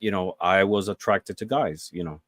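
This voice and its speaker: Man, 40s, earnest guest chat, lots of fillers, low voice, dry sound. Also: moderate pace, fairly bright tone, no echo. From Puerto Rico. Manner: earnest, many fillers and restarts. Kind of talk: casual interview chat.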